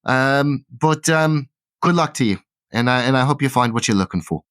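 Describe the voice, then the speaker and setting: A man voicing a warm, flirty, motherly theater actress who gushes and calls everyone love in a tabletop game. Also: mid-range voice, lively intonation, moderate pace, no echo, lightly acted. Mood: warm, grateful. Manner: gushing, calls people love.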